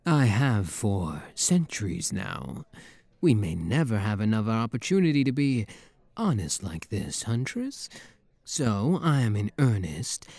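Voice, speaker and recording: A man plays a suave demon prince in a romantic ASMR drama, speaking low and unhurried in a close, dry sound. His delivery is courtly and teasing, swinging widely in pitch as he flirts with mock menace. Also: a little mellow, dry recording, exaggerated voice. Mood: earnest, yearning.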